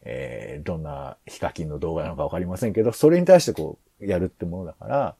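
Male podcast host, low and husky, casual discussion, rambling and searching for words. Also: lively intonation, quick pace, warm tone, no echo. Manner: hesitant, rambling, thinks aloud.

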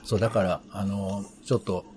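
A man co-hosting a casual talk, rambling in a halting, stammering, self-deprecating way. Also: low-pitched, steady tone, heavy background noise. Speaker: co-host.

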